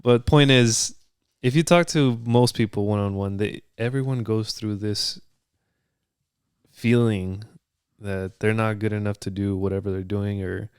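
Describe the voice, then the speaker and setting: Low, smooth-voiced man on a podcast. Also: measured pace, no echo, studio quality. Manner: relaxed, brief agreeing interjections. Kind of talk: casual chat.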